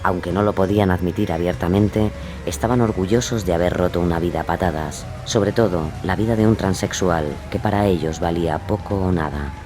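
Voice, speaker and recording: Casting: male true-crime narrator, deep register, scripted delivery over a prominent music bed. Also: brisk.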